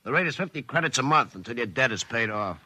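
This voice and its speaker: An American man acting in a 1950s radio drama as a gruff, cynical forced-labor worker who bosses a newcomer around in slangy, sardonic talk. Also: mid-range voice, animated, quick pace, pushed and bright. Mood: sardonic, matter-of-fact. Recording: vintage 1950s radio recording.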